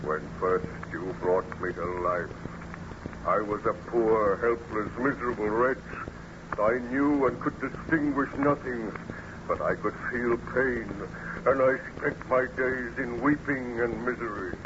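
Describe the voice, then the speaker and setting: A man's voice: medium pitch, close to an everyday voice. Man acting hideous creature, bitter and menacing, self-pitying, formal grave delivery, old radio play, muffled sound, heavy noise. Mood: mournful. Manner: slow, grave, declamatory, formal diction.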